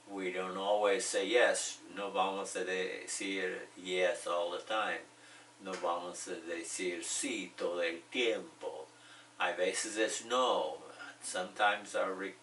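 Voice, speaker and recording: Guy teaching english real slow, deep voice, mic kinda far off in an echoey room. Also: bright, forceful tone, slight background noise. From United States. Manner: slow, repeats example phrases, joking asides. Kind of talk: bilingual language lesson.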